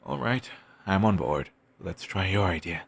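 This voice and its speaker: A man playing a wistful, self-doubting wandering bard in an intimate audio roleplay, musing in courteous, formal phrases with thoughtful pauses and a gravelly, husky voice. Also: deep voice, animated, exaggerated voice. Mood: warm, curious. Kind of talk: intimate one-sided audio roleplay acting.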